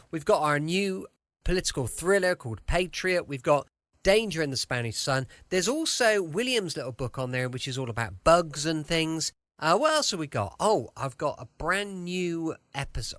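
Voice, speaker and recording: Bright, lively British podcast host. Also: male voice, on the high side, dry recording. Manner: chatty, rambling. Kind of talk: casual chatty radio show introduction.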